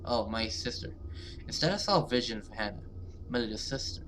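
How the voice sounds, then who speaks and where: A man's voice: mid-range voice, animated, brisk, noisy background. A man hosting a gaming video, reading visual novel text aloud with casual commentary and sometimes stumbling over and repeating words.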